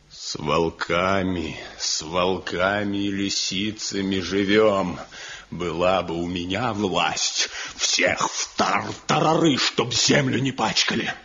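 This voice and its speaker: A man's voice: low-pitched, slow, fairly bright tone, a little hiss or hum, exaggerated voice. An actor playing a tormented, brooding master craftsman in despair, with an anguished, bitter, rhetorical delivery in a Soviet radio drama. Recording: dull lo-fi archival 1970 radio recording.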